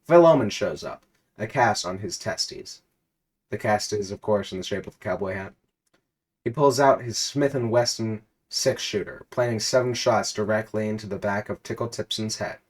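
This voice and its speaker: Man, fairly low pitch, storyteller, dramatic delivery, comedic second-person narration. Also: normal speed.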